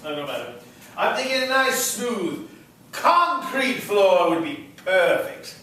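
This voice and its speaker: A man's voice: sits high, sing-song, slow pace, bright, forceful tone, pitched above the performer's own voice, full character voice. A man reading the part of a pompous, opinionated interior decorator in a play, in an echoey room. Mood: fussy, assured. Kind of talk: script read aloud at a play reading. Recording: echoey room, far from mic, faint noise.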